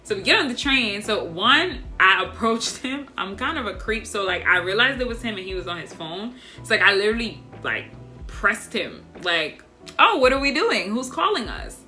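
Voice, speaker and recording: A young woman vlogger telling a dating story to her viewers in a casual, chatty way, speaking softly without projecting. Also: medium pitch, normal speed, faint music behind. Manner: soft, doesn't project, monotone by her own account; chatty and animated, addresses viewers as y'all.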